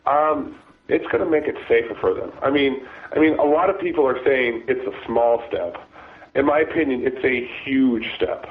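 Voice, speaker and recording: Man with a cold, raspy, halting speech, tinny phone line, middle pitch. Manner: halting, many restarts and repeated words. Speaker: guest, former deputy sheriff.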